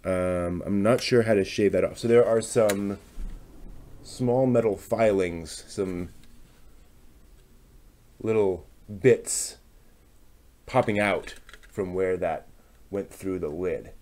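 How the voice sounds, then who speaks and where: A man's voice: low voice, slow pace, pauses often, a little mellow, slight background noise. A man talking casually through a hands-on demonstration on a live stream, tentative and thinking aloud, often trailing off. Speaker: host of a live craft stream.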